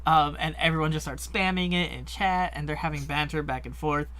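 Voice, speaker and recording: Chatty man co-host, bright edge, higher pitch. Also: fairly quick. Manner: chatty, lively, lots of likes and ums. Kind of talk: casual podcast chat.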